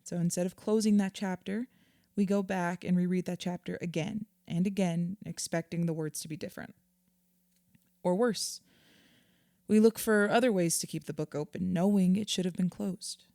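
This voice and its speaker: Audio drama: actress as earnest female therapist, mellow tone, measured and reassuring, very dry studio-close sound. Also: fairly low pitch, fairly even intonation, dry recording, lightly acted. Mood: empathetic, explanatory. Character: patient, earnest female therapist counselling a client. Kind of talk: naturalistic audio drama acting.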